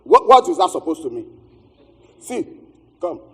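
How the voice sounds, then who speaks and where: A man's voice: high voice, sing-song, a little slow, a bit bright, breathy edge, exaggerated voice. An actor with a West African accent playing a blustering, sweet-talking married man and shady dealer in a theatrical stage comedy-drama. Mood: insistent. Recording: echoey theatre hall, distant stage sound.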